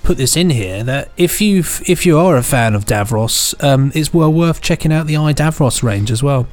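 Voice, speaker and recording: A man's voice: mid-range voice, expressive, normal speed, a little mellow. A British man co-hosting a podcast in an easygoing, agreeable, casual way. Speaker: podcast co-host. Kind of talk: casual chat.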